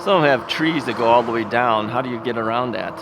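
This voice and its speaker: A man's voice: medium pitch, fairly quick. A man vlogs while riding an ebike on suburban streets. He talks casually and rambles, chuckling often and thinking aloud into a camera mounted on the bike. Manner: rambling, chuckling, self-questioning. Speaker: vlogger. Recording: traffic and wind noise outdoors.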